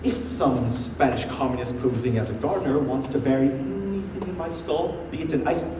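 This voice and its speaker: A distant, echoey and noisy stage recording of a young man playing a pompous, paranoid revolutionary in an absurd comedy. He declaims theatrically, swinging widely in pitch and flaring into outbursts. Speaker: actor. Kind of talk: amateur one-act stage comedy acting. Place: indoor stage, recorded from far off.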